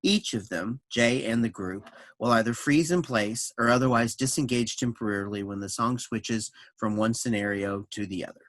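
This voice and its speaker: A man's voice: medium pitch, moderate pace, hardly any pauses, fairly bright tone, dry recording. Man reading narration, close dry sound. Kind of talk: scripted narration of an introduction and stage directions.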